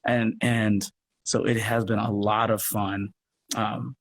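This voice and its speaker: A man speaking as an interview guest in a casual, halting way, thinking aloud as he goes. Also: on the low side, level tone, creaky. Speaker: guest, audio drama producer and actor.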